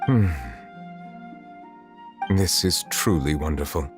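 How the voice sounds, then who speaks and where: A very low male voice for a grave, composed chief justice in a game. He speaks formally and with measured reserve. Soft music plays underneath. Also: lively intonation, exaggerated voice. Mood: calm, reserved. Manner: formal, measured, stately wording. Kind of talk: video game story dialogue.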